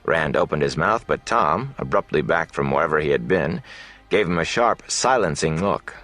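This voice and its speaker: A man with a low voice narrates a fantasy audiobook. His scripted reading is expressive and varied in pitch, and there is music in the recording. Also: moderate pace.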